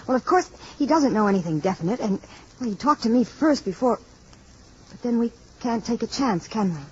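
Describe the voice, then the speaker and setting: A woman's voice: fairly high pitch, expressive, fairly quick, darkish tone, a little hiss or hum, natural voice. An actress playing an anxious, earnest woman with an urgent, imploring manner in a 1950s radio drama. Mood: hesitant. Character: anxious, earnest woman pleading to protect a stranger. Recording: dull old radio recording with music.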